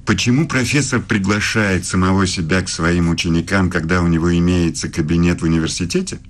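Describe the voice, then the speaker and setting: A middle-aged man as a philosophy professor, played by an actor in a radio play. His voice is deep, his speech formal and measured, with a suave, inquisitive edge. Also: fairly even intonation, natural voice. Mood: formal, probing.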